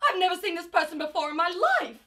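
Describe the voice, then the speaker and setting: A woman's voice: steady tone, fast talker, bright, forceful tone, far from the mic. A woman acting a frantic mother on a witness stand who gasps, denies everything and finally breaks down sobbing. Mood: outraged denial. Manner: shrill gasping outbursts, sobbing confession. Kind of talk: audition scene reading.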